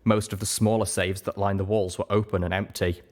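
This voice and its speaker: A man reading a story aloud as expressive, scripted first-person narration. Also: on the low side, fairly quick. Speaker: audiobook reader.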